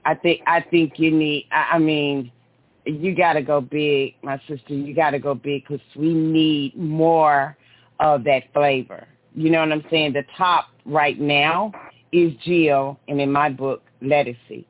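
A raspy-voiced man on a radio talk show, enthusiastic and encouraging. His voice sits slightly high and sounds dry and close. The line is thin and phone-like. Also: fairly even intonation, a little slow, dry recording.

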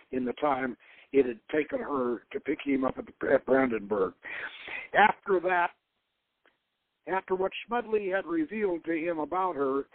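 A man narrates a novel in a dramatic storytelling style. His voice is somewhat high, and he occasionally stumbles over a phrase. It sounds dry and narrow, as if over a phone. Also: no room sound. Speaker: host reading his own novel.

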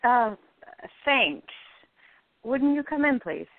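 Hesitant, easily rattled actress character, woman performer, radio play, animated pitch, phone-like recording. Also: takes it slowly, dry recording, exaggerated voice. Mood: flustered, shy. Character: hesitant, discouraged young actress, easily flustered. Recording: tinny phone-like lo-fi audio.

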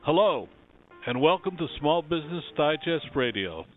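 A man's voice: on the high side, very animated. Male host reading a tinny show intro. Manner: measured, read from a script. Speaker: radio host. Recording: tinny phone-like audio with faint music.

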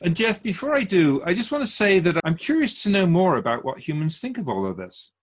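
An actor playing a wise, otherworldly male keeper of souls in a radio drama interview, gently teaching like an outsider to humankind, with a husky voice. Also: high-pitched, sing-song, a little fast. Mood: gracious, curious.

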